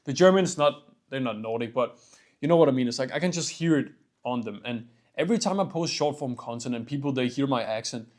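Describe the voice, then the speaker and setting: A man's voice: medium pitch, expressive, brisk, darkish tone. A young man from Denmark with a Danish accent, talking casually to camera in a rambling vlog, full of "like" and "bro" and swearing. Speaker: vlogger.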